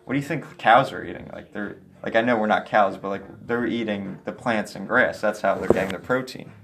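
A young man as a guest in a casual chat, soft-voiced, rambling with many "like"s and restarts. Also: on the low side, brisk. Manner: rambling, heavy use of "like", restarts.

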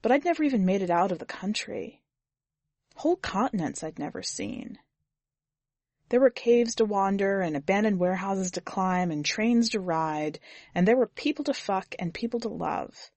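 A woman reading scripted first-person fiction as a narrator, with measured, grave, deliberate phrasing. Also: medium pitch, lively intonation, a little mellow, no room sound. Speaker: podcast editor and story narrator.

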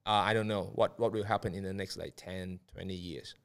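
A man's voice: low-pitched, fairly even intonation, fairly quick, a bit bright. Hesitant man. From Hong Kong. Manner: hesitant, many fillers. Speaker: guest. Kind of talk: casual interview answers.

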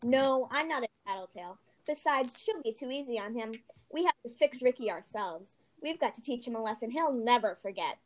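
A woman's voice: a little high, lively intonation, fairly quick, few pauses, slight background noise, lightly acted. A woman playing a spirited, dramatic schoolgirl in an amateur radio-style play. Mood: determined. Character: Molly, indignant wartime American schoolgirl, vengeful, dramatic. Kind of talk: amateur reader's theater podcast.

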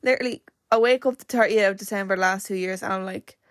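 A young woman hosting her own podcast in casual, rambling chat, her voice a little croaky and cracking. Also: mid-range voice, fast talker, no room sound, clean studio sound. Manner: chatty and rambling, full of "like" fillers. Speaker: podcast host.

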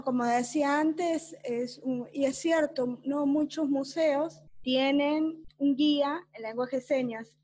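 Woman interviewer, high voice, courteous and formal. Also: a little mellow, rough recording. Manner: polite, formal, some repeated words.

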